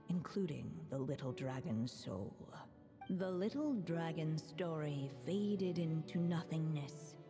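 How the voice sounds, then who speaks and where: A woman acting as a solemn, measured storybook narrator telling a dark fairy tale in a game. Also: deep voice. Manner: measured, grave. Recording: long reverberant hall effect.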